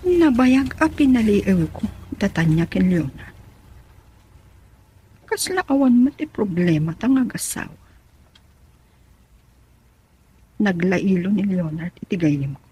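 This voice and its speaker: An actress playing a lively woman friend in an Ilocano radio love drama, with an Ilocano accent and an expressive, husky delivery. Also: medium pitch, unhurried, heavily acted, low-quality recording. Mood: pensive. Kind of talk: Ilocano radio drama acting with narrated inner thoughts.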